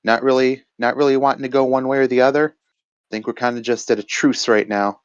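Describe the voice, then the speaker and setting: A man giving casual, reflective analysis as a match co-commentator, often pausing mid-thought over a voice chat. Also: mid-range voice, steady tone, no room sound, lo-fi sound. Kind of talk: casual esports-style card game commentary.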